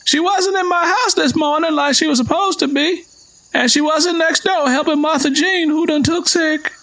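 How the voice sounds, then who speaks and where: Stern old southern father, super high creaky voice, pushy and blunt, storybook drama. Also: moderate pace, bright, forceful tone, exaggerated voice, poor audio. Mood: accusing, stern. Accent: American South. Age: older. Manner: insistent, blunt, confrontational.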